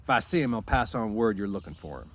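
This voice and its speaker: A man's voice: lowish voice, expressive, fast talker, a little hiss or hum. Bossy, scolding itinerant farm worker performed by a male reader, brusque rural slang, storybook read-aloud. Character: gruff, bossy, protective itinerant ranch hand.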